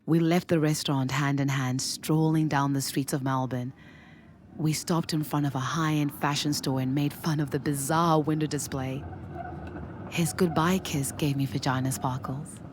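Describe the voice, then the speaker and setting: A woman's voice: low voice, steady tone, moderate pace, noisy background, lightly acted. A woman in her twenties from Zimbabwe playing a candid, smitten young woman in an audio drama, confiding and playful. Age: 20s. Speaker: lead actor and first-person narrator. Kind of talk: audio drama narration and dialogue.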